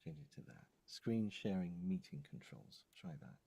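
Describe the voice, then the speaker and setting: Casual, lowish male voice, halting and self-muttering, informal live online session. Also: animated, vocal fry, warm tone, breathy edge, no echo. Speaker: co-host and audio producer. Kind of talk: casual live troubleshooting chat. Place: indoors on a video call. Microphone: microphone on a video call.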